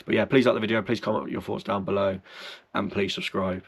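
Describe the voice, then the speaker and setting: A man chatting casually through football club news, slightly croaky and under the weather, rambling and correcting himself as he goes. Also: on the low side, little pitch movement, brisk. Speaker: football fan channel host. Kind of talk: casual fan news chat.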